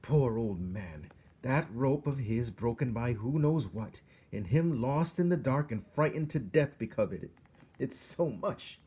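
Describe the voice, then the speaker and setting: A man voicing a ferocious, despairing woman cook in a storybook, shouting and wailing with a strained high voice. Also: expressive, measured pace, husky. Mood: distraught shouting. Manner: shouting, sobbing, dramatic.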